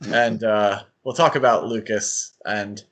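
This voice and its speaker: A man's voice: lowish voice, steady tone, a little slow, fairly bright tone. Guy hosting a podcast, just chatting casually, informal and conversational. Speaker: podcast host.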